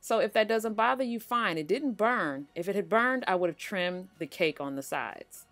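A woman's voice: medium pitch, expressive, moderate pace, fairly bright tone, soft background music. A middle-aged woman hosting a cooking channel, talking viewers through a bake in a chatty, reassuring voice-over. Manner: chatty, reassuring, speaks to viewers as "you guys". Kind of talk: relaxed voice-over baking tutorial.

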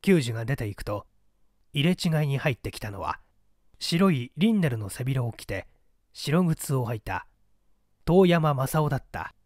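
A man's voice: medium pitch, big pitch swings, measured pace, a little mellow, dry recording. Man, literary narration, story reader, very dry studio-like sound.

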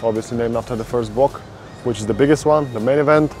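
A man's voice: medium pitch, fast talker, over music. A man hosting a casual walkthrough of a conference venue outdoors, chatty and upbeat. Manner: upbeat, chatty tour-guide delivery. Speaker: conference reporter and host.

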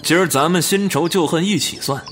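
A man's voice: a little high, brisk, fairly bright tone, soft background music, lightly acted. A man playing a coarse, jealous, hot-tempered young bandit chief in a Chinese period audio drama, with a sneering, swaggering manner. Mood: menacing.

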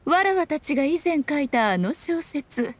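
A woman's voice: sits high, sing-song, moderate pace, no echo. A woman voicing a sly, haughty fox-spirit shrine maiden in a Japanese anime game, with teasing, archaic noble speech. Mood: amused, sly.

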